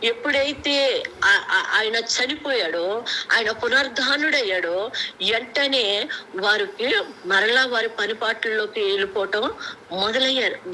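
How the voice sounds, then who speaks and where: Bright, high-voiced woman preacher. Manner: halting, explanatory, with rhetorical questions. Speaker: preacher sharing a Bible message. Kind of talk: extempore devotional teaching to a prayer group. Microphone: conference call. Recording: noisy conference-call recording.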